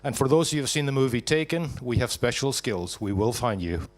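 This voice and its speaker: A Scottish man giving a live startup pitch to an audience, jokey and punchy, asking and answering his own questions. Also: animated, brisk. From Scotland.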